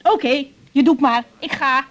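High-pitched, exasperated young daughter. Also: female voice, unhurried, a bit bright. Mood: hurt, exasperated. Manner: emotional, blunt, reproachful. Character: hurt, exasperated grown daughter confronting her father. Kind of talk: radio drama dialogue.

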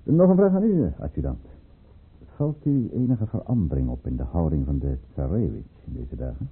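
Silky conspirator of high rank, husky, very varied intonation, formal and probing, thin old radio-play sound. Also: male voice, sing-song, exaggerated voice. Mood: suave, pointed. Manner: measured, probing, formal and insinuating. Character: scheming, silky high-ranking male courtier conspiring against the tsar. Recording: muffled, narrow-band old radio recording.

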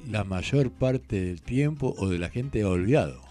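A male radio co-host with a fairly deep voice. His intonation moves around a lot as he comments conversationally, with light music in the background. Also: expressive, measured pace, warm tone.

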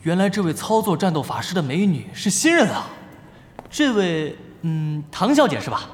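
A man playing a lively esports stage host in an audio drama, with a polished, enthusiastic announcer delivery. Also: high-pitched, moderate pace. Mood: upbeat, announcing. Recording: long stage reverb, faint music behind.

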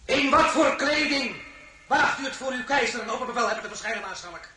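An actor playing an imperious, mocking French emperor in a radio drama, theatrical and commanding, with pointed pauses. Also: male voice, extremely high pitch, background noise. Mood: indignant. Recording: roomy, echoing studio sound.

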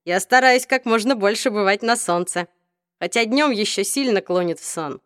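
Спокойный ироничный мальчик-вампир в озвучке чтицы, голос ниже обычного, сухой звук. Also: female voice, mid-range voice, normal speed, fairly bright tone, dry recording, clean studio sound, natural voice. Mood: wry. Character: teenage vampire boy, calm and wry. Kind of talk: audiobook reading.

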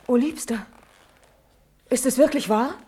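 An actress playing a noble young princess in a classical radio drama, speaking solemn, declamatory verse with passion and pride. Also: female voice, sits high, measured pace, warm tone, husky, heavily acted. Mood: disbelieving, hopeful.

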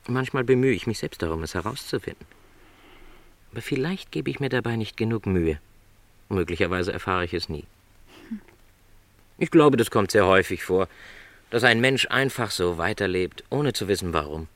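An actor playing a gentle, lonely middle-aged travelling salesman in a literary radio drama, speaking in a measured, reflective, hesitant way. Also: lowish voice, animated. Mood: resigned, thoughtful.

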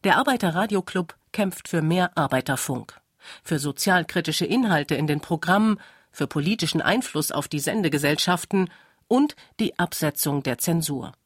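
A documentary narrator with a mid-pitched voice that has a husky grain. The delivery is scripted reading. The recording is dry, with no room sound. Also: expressive, normal speed, no echo.

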